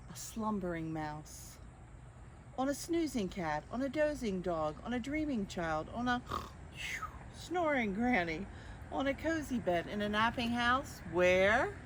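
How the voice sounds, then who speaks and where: An older woman reading picture books aloud to young children, expressively, with drawn-out phrases and questions to her listeners. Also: mid-range voice, slight background noise. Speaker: children's storyteller.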